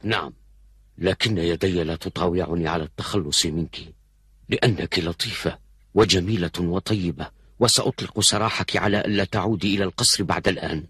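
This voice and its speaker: Deep, husky, solemn huntsman. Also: brisk, a bit bright, no room sound, studio quality, exaggerated voice. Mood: solemn regret. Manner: grave, solemn. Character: reluctant, kind-hearted huntsman, a grown man. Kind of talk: dubbed cartoon acting.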